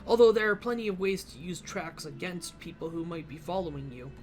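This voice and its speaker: A woman's voice: lowish voice, animated, brisk, a little mellow. A woman hosts a let's play in a casual, conversational way. Her delivery is wry and a little sarcastic, drifting in and out of character. Game music plays behind her. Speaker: let's play host, gamer. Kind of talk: casual gameplay commentary, partly in character.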